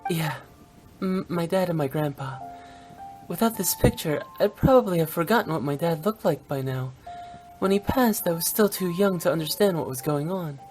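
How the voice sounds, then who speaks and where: A woman's voice: lowish voice, lively intonation, normal speed, a little mellow, faint music behind, lightly acted. A woman playing a young female funeral director in a video game, playful yet blunt and unsentimental, speaking in a frank, matter-of-fact way. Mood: subdued, matter-of-fact. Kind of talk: scripted English video game voice acting.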